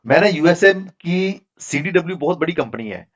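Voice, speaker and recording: A man's voice: on the high side, animated, moderate pace, muffled recording. A man teaching an online class, answering students' questions in a frank, explanatory, conversational way over a video call. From Pakistan. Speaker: instructor.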